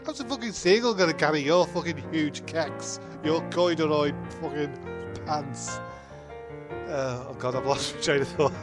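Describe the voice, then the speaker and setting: A man's voice: a little high, normal speed, over music, natural voice. A man voicing John, a rambling, swearing male castaway with an Australian accent. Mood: ranting.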